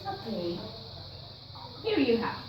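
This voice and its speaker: A woman plays a kind young mother in an amateur period drama. She speaks slowly and gently in a high voice, from far off in a strongly echoing room. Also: big pitch swings. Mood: welcoming. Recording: very echoey room, far from mic, faint noise.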